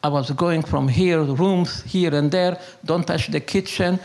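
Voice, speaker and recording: An older Hungarian man speaks in a fairly high voice with a gravelly creak. His Hungarian accent is plain, and his English is halting and broken. He talks off the cuff like a storyteller and philosopher, repeating words for emphasis. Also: a little mellow. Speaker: interviewee telling his life story. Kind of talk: unscripted conversational storytelling and philosophizing.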